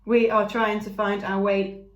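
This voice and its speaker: A woman voicing a caring female robot who talks in a slow, measured, even way. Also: mid-range voice, close to an everyday voice. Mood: calm. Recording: roomy echo.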